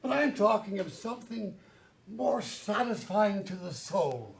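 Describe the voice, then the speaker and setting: Man playing a smug, creaky high-voiced manager. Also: very animated, full character voice. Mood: condescending, menacing. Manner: condescending, deliberate. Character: condescending, smug male middle manager, coldly cynical. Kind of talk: satirical staged play reading.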